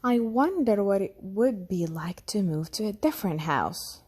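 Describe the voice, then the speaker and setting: A woman's voice: mid-range voice, animated, a little slow, a little mellow, husky. A woman voicing a curious, eager little boy tiger in a children's storybook, with a wondering, childlike manner. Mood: curious, excited.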